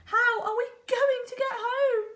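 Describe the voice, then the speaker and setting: A woman voicing Albert as a boastful, excitable male inventor in a children's storybook. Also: very high voice, unhurried, voice pushed up. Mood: exasperated.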